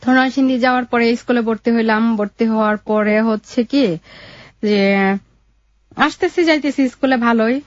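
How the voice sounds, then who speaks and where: A young woman with a Bangladeshi accent telling her life story in an interview, in a halting, colloquial way. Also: a little high, no room sound, dull audio.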